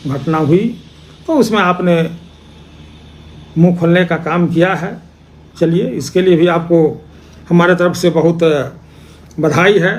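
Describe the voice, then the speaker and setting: A man giving an accusatory political speech, posing rhetorical questions and repeating phrases for emphasis. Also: high voice. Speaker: opposition party politician.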